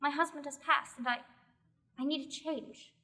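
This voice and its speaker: A woman's voice: high-pitched, fairly even intonation, normal speed, bright, forceful tone. A young woman playing a stubborn old widow. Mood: pleading. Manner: determined, pleading. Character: old widow, stubborn and pleading, wants knighthood. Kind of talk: amateur radio play acting.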